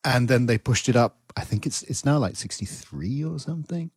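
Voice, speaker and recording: A man's voice: medium pitch, lively intonation, moderate pace, slightly rough, no echo. A sixty-year-old man hosting a casual chat, musing in a wry, self-mocking way. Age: 60s. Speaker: host.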